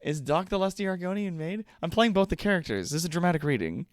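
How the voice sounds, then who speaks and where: A man's voice: high voice, expressive, fast, no room sound, studio quality. A man hosting a livestream, explaining things casually and playfully with some hesitation. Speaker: livestream host.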